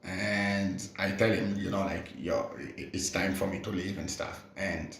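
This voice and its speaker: A man's voice: deep voice, fairly even intonation, normal speed, creaky, a bit bright. A man talking casually and earnestly on a podcast, reflecting and often adding "you know". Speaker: podcast speaker. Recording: roomy, echoey podcast recording.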